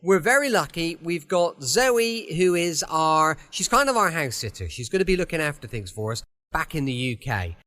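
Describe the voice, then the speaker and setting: A man's voice: on the high side, very animated, normal speed, hardly any pauses, bright, forceful tone. A man hosting an old-time radio podcast from his home, chatting in a friendly, rambling way before the episode. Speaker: podcast host. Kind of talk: casual chatty podcast introduction.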